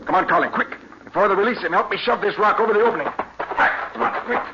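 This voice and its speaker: High-voiced, frantic doctor in peril. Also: male voice, fast. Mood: urgent. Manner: urgent, breathless exclamations. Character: urgent, frightened male doctor leading an escape. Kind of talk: 1950s radio drama acting. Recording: muffled, very noisy 1950s radio recording.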